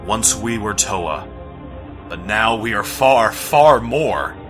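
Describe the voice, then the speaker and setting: A man's voice: fairly low pitch, animated, slow pace, a bit bright. A man playing a resolute heroic warrior leader in a fantasy audio drama, speaking in a commanding, dramatic way. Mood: solemn, resolute. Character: heroic male fire warrior leader, robotic being, resolute. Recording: music behind.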